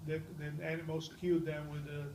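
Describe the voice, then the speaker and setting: A man's voice: a little high, completely flat delivery, brisk, darkish tone. A man in a casual group chat who keeps cutting in and prodding the storyteller to go on. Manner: interjecting, prodding the teller to keep going. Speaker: self-styled moderator of conversation.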